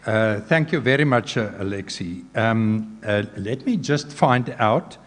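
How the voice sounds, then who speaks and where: A man chairing a conference panel whose first language is Afrikaans, talking haltingly with many hesitations and light jokes. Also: lowish voice, animated, long reverb tail, a little hiss or hum. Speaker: session chair.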